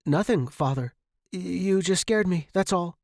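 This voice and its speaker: Man doing a high, timid teenage boy. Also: moderate pace, clean tone, no echo, studio quality. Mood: nervous. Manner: meek, hesitant. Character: timid, bookish teenage boy, nervous son. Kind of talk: acted audiobook dialogue.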